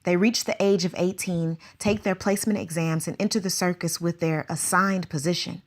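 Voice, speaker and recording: A woman's voice: fairly low pitch, fairly even intonation, normal speed, close to an everyday voice. A woman playing an anxious, earnest circus teacher in a radio drama, asking question after question.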